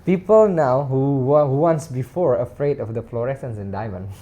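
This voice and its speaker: Mellow male podcast interviewer from Indonesia. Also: medium pitch, moderate pace, highs cut off. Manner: deferential, frequent backchannels, repeats words for agreement. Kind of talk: casual conversational interviewing.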